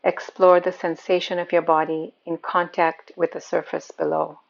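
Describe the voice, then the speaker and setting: A woman leads a guided meditation in a calm, soothing way. Her voice sits on the low side and has a strong creak of vocal fry. The recording is a little dull and muffled, with a lo-fi sound. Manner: calm, soothing, unhurried instruction. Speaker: yoga nidra meditation guide.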